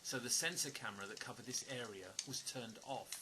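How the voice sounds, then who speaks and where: A man playing an earnest stranger pleading for trust in an audio drama, speaking haltingly and repeating words. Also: medium pitch, noisy background, close to an everyday voice. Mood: explanatory, guarded. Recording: music under the voice. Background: music.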